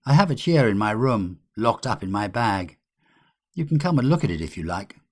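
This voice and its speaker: Man acting a haunted young antiquarian, low and husky. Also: very animated. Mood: resigned calm. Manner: muttering, resigned, halting. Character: nervous, despairing young male antiquarian, haunted and guilty.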